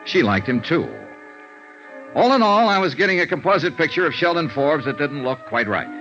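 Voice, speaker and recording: A muffled vintage radio recording of a world-weary American investigator. His hard-boiled, clipped delivery swings expressively in pitch, dry and persistent in noir style. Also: very animated, normal speed, a bit bright, soft background music. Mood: somber narrating. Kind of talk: 1950s radio noir acting and narration.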